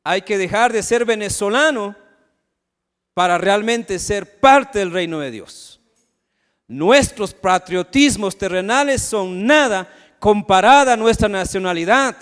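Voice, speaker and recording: A man's voice: high-pitched, animated, a little slow, a bit bright, clear, resonant tone. A man from Ecuador, preaching a sermon as pastor and firing rhetorical questions at the congregation. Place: church sanctuary. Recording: long hall reverb.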